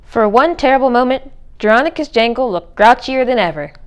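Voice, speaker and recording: A woman's voice: on the high side, lively intonation, fairly quick, clear, resonant tone. A woman reading a children's storybook aloud as narrator. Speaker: read-aloud reader.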